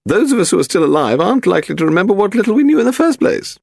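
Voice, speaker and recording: A man's voice: sits high, big pitch swings, very fast, vocal fry, darkish tone, dry recording, clean studio sound, voice pushed up, full character voice. A man voicing a haughty, pedantic English aristocrat, a frail lady in her eighties, in an upper-class accent for an audiobook story. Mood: grand, derisive. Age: 80s. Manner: pedantic, grand, derisive, demanding. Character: imperious frail aristocratic old lady of eighty-five, malicious.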